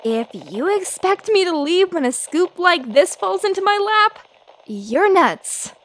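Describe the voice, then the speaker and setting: An actress playing a brash, tenacious 1930s newspaper reporter, feisty and full of snappy period slang. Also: female voice, very high voice, sing-song, slow, fairly bright tone, slight background noise, full character voice. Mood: eager. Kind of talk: old-time radio drama acting.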